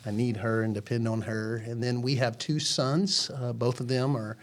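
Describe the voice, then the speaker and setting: A man's voice: mid-range voice, steady tone, moderate pace. Earnest rambling man in his forties. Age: 40s. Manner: earnest, rambling storytelling with many restarts. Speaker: ministry founder, podcast guest. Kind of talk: casual chat.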